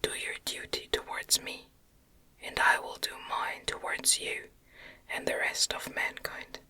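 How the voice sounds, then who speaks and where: A woman voicing a wretched, bitter, giant male man-made monster in a breathy whisper, solemn and eloquent, for a gothic audiobook. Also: unhurried, bright, forceful tone. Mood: bitter, threatening.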